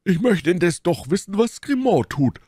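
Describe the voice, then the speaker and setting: A man's voice: sits high, big pitch swings, a little slow, warm tone, slightly rough, dry recording, clean studio sound, pitched above the performer's own voice, exaggerated voice. A man voicing a blunt, blustering and easily puzzled musketeer in an audiobook, in an emphatic way. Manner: emphatic, querulous. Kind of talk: audiobook character dialogue.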